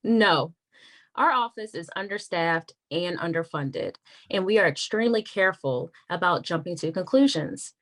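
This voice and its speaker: A woman playing a wary, frank government attorney in a naturalistic script read, measured and confiding. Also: fairly low pitch, expressive, fairly bright tone. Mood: troubled, frank.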